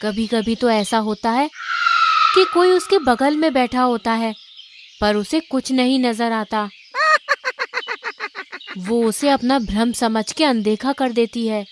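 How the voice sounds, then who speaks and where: A woman's voice: on the high side, slow pace, long pauses, very noisy. A woman reading scripted story narration.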